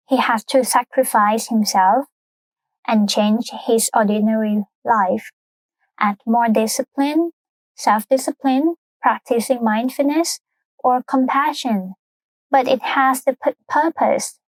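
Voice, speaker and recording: Woman with a high, dry voice. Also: a little mellow, no room sound, poor audio. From Thailand. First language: Thai. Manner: careful, measured explaining in second-language English. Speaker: podcast co-host. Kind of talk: explanatory cultural talk.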